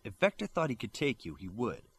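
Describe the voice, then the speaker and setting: Man voicing a commanding hero, varied pitch. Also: wildly swooping pitch, a little fast, vocal fry, fairly bright tone, husky, full character voice. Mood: calm.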